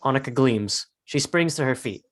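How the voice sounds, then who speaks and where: A man's voice: mid-range voice, expressive, normal speed. A man narrating a screenplay, reading out scene headings and stage directions as scripted narration.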